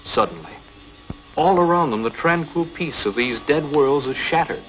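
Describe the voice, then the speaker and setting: A man's voice: a little high, animated, moderate pace. A man giving dramatic, portentous scripted narration in 1950s television style. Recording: dull 1950s TV film soundtrack. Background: music.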